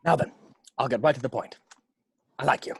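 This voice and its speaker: A man's voice: mid-range voice, a little fast, a bit bright, breathy edge. A man playing a charming, brash seventy-year-old tycoon in a script table read, with a jovial, showy delivery. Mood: boastful, confiding.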